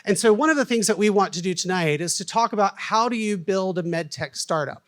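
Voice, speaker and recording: A man's voice: sits high, animated, brisk, a bit bright. A man in his forties with a Southern American accent, moderating a conference panel in a folksy, self-deprecating way with plenty of fillers. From United States.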